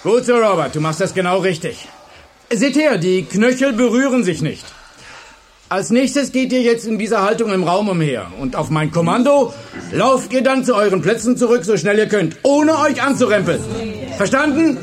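Strict male schoolteacher, radio drama. Very high-pitched, commanding, clipped drill-style delivery. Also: expressive, normal speed. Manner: barking, clipped classroom orders. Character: strict commanding male history teacher drilling his class. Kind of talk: German radio play acting.

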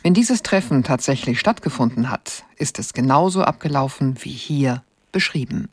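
A man reading a scripted introduction to a radio drama in a measured, formal way. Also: medium pitch, expressive, breathy edge, no room sound.

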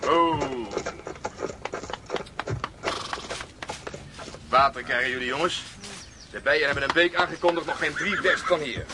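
An actor playing the composed eldest of travelling brothers in a radio drama, calm, courteous and practical. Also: male voice, fairly high pitch, brisk, very noisy, close to an everyday voice. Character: eldest travelling brother, composed leader who keeps bees.

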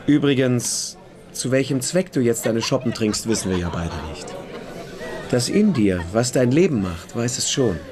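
An actor playing a calm, philosophical and superior young friend in a literary radio play. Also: male voice, mid-range voice, normal speed, crowd noise, lightly acted. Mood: calm, earnest.

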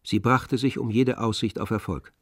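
An actor narrating a literary radio play in a measured, composed storytelling manner. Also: male voice, medium pitch, steady tone, dry recording, close to an everyday voice. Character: composed male literary narrator of a tragic novel. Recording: dry studio, music underneath.

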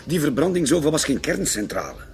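A man's voice: a little high, big pitch swings, a little fast, creaky, a little mellow, soft background music. An actor playing a dismissive, soothing middle-aged Flemish husband and father in a radio play. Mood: dismissive, exasperated. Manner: soothing, dismissive, mildly mocking. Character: dismissive, calm-minded husband and father Claude.

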